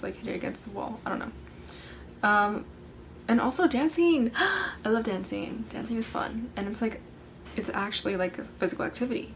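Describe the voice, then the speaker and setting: Teen girl podcaster, kinda hoarse, super chatty, says like every other word, faint background hum. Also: mid-range voice. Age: late teens. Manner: bubbly, filler-heavy, says "like" constantly. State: hoarse from yelling. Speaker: podcast host. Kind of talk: casual chat.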